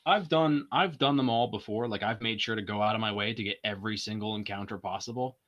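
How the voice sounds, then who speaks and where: A man's voice: fairly low pitch, fast, a bit bright, dull audio. A man as a guest on a game stream, chatting in a laid-back, agreeable way. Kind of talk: casual chat while gaming.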